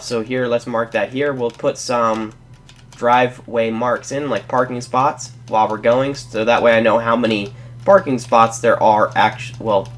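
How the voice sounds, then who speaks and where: A young man with a stuffy, congested voice hosts a casual game-building tutorial. His pitch sits right in the middle, and he rambles along, counting blocks and correcting himself. He apologizes easily when he gets muddled. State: congested from a cold. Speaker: Let's Play host.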